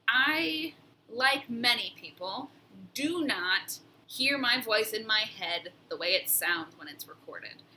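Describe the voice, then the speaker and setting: A woman's voice: a little high, pushed and bright, slight background noise, poor audio. A young woman with a nasal voice, talking casually and reflectively in a personal vlog, with frequent fillers and restarts. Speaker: vlogger.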